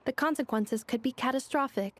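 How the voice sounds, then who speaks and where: A female robot analyst from a game. Her delivery is formal and clinical, every phrase precise, in a moderately high voice. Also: brisk. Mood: clinical, warning. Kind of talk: anime game voice acting.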